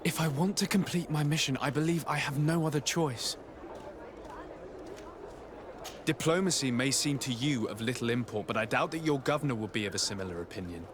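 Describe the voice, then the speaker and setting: A male actor plays a young aristocratic envoy in a fantasy game. His mid-pitched voice is composed, courteous and articulate, with faint background ambience. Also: fast, few pauses, noisy background, natural voice. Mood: firm, diplomatic. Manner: polite, formal, articulate.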